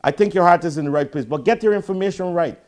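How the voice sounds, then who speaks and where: High-voiced Guyanese man hosting a call-in show. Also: fast, darkish tone. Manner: firm, corrective, repeatedly addresses the caller as sir. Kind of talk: heated call-in debate.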